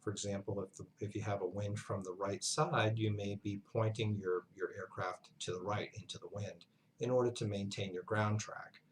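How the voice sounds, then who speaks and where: A man giving an instructional lesson as a flight instructor, speaking in a measured, halting way with pauses mid-sentence. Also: on the low side, fairly even intonation, slight background noise, low-quality recording. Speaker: flight instructor, university lecturer. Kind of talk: instructional narration, explanatory lesson.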